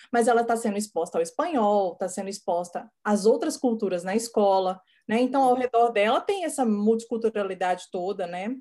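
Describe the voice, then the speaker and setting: A woman's voice: medium pitch, a little mellow. A woman hosting an interview, reflecting on her own family and asking long questions. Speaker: interview host. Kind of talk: conversational interview.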